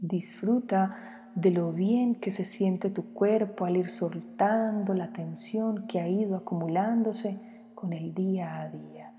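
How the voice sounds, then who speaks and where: A woman leads a guided meditation in a calm, soothing manner, leaving long pauses between short phrases. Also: mid-range voice, a little hiss or hum. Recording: long reverb, music behind. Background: music.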